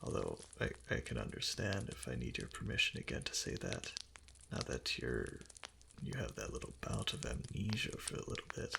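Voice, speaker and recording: A man playing an obsessively devoted kitsune husband in an intimate romantic ASMR roleplay, speaking softly and hesitantly in a breathy, often whispered voice close to the mic. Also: rumbling low, big pitch swings, normal speed, background noise, heavily acted. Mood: possessive, obsessive. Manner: soft, hesitant, clingy pillow talk. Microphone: close ASMR microphone.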